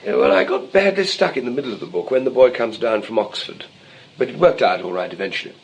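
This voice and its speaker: An actor playing a cynical, conceited novelist in a 1920s comedy of manners, dry, blunt and playfully provocative. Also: male voice, mid-range voice, expressive, fast, breathy edge, poor audio. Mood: self-absorbed. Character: cynical, teasing male novelist, urbane and conceited. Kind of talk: 1920s English comedy of manners stage acting.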